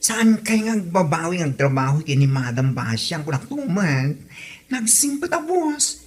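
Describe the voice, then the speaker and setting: A woman's voice: low-pitched, sing-song, a little slow. A woman with an Ilocano Filipino accent acting a helpful, gossipy village friend in a comedy audio drama. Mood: encouraging.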